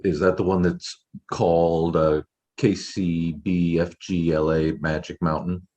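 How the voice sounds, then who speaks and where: A man calling in to a video meeting with a question, hesitant with many pauses. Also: sits low, flat delivery, warm tone. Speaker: caller with a question. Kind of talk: casual talk on a video call.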